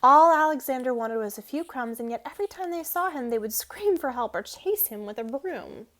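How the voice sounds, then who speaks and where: A woman reading a children's storybook aloud as an expressive narrator. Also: high voice, a little fast. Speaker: storybook reader.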